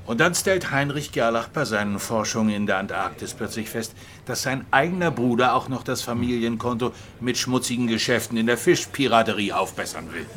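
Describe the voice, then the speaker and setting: A male police chief played by an actor in a radio crime drama, with a bright, forceful voice of medium pitch. He speaks in a businesslike, wry and plain-spoken way, addressing his team. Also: fairly quick, few pauses, natural voice. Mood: matter-of-fact.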